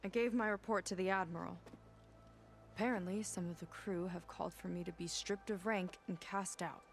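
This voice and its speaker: An actress playing a proud, guarded young female marine in a video game cutscene, brisk and plain-spoken, with a wry edge. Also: on the low side, fairly even intonation, soft background music, lightly acted. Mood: frustrated, stoic. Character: proud, guarded young woman marine scout seeking her sister.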